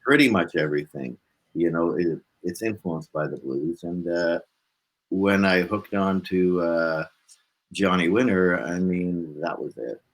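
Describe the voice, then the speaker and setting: A man's voice: deep voice, a little slow, rough recording. A man, chatting informally as an interview guest and rambling through his stories. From Canada. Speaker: guest, blues musician. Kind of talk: casual interview chat.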